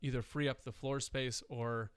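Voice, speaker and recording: A man's voice: medium pitch, steady tone, normal speed, clean tone, no room sound, clean studio sound. A man co-hosting a podcast, chatting casually and rambling with fillers, a little nasal from dust. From Wisconsin. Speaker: podcast co-host. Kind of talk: casual chat.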